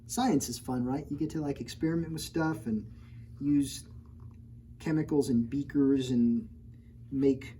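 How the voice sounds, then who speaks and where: A woman reads a children's storybook aloud in a mellow, warm tone, pausing again and again to joke with the kids and point things out in the pictures. Her storytime delivery is playful and teasing, with faint noise in the background. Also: a bass voice, steady tone. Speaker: children's librarian. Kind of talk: storytime read-aloud with chatty asides.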